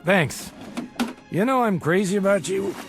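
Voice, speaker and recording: An actor playing a boozy middle-aged small-time bookie in an audio drama, a glib, self-deprecating charmer and doting father given to theatrical flattery. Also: high-pitched, measured pace, vocal fry, over music, exaggerated voice. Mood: breezy, flattering.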